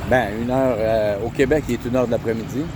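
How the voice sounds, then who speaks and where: A man's voice: mid-range voice, steady tone, quick pace. A man with a Quebec French accent, chatting casually to his camera while walking through city streets at night. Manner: chatty, points things out to viewers while walking. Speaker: travel vlogger. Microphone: handheld camera. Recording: outdoor street noise behind the voice. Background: traffic and street noise.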